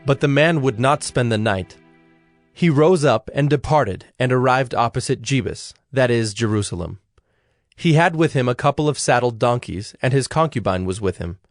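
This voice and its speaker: A man narrating a dramatized scripture in a measured, solemn, scripted style. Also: medium pitch, animated, dry recording, clean studio sound. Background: background music.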